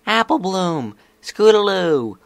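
A man's voice: mid-range voice, moderate pace, creaky, fairly bright tone, dry recording, voice pushed up. A man voicing a cheerful young female unicorn in a story reading. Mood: excited.

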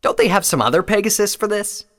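A man voicing a brash, cocky male pegasus courier in a story, excitable and quick to complain. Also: high voice, long reverb tail, voice pushed up. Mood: protesting.

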